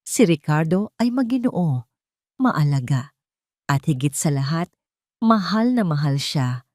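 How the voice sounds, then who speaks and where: A woman narrating a scripted romance drama in a measured, dramatic storytelling manner. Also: deep voice, big pitch swings, darkish tone, no room sound, clean studio sound.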